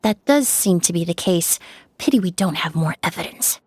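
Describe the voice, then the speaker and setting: An actress playing a calm, thoughtful forensic cleaner in a video game, speaking in a measured way. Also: female voice, lowish voice, husky, no echo. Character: calm, poised female forensic cleaner and perfumer.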